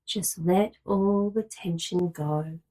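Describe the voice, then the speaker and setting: A woman leading a guided relaxation meditation as a yoga nidra guide, giving calm, measured, soothing instructions with long pauses. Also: fairly low pitch, low-quality recording.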